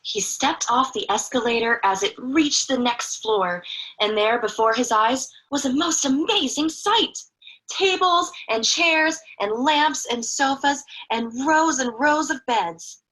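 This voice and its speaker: Bright, high-voiced woman reading a storybook. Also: takes it slowly, low-quality recording. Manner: chatty asides to young listeners. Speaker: children's story reader. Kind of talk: expressive read-aloud of a picture book.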